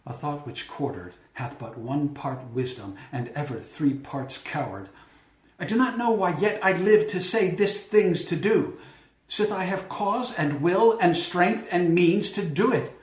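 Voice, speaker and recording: Guy playing a moody vengeful prince, shakespeare soliloquy, earnest and declaiming, slightly high voice, echoey. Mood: brooding. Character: brooding, self-reproaching young prince bent on revenge. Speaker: amateur actor. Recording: echoey room.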